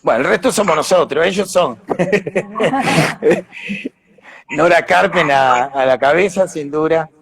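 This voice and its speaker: A man's voice: fairly high pitch, slow pace, gravelly, pauses often, a bit bright, slightly rough. An Argentine man, an actor being interviewed, talking casually and reflectively as he explains things and searches for words. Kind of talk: casual live conversation.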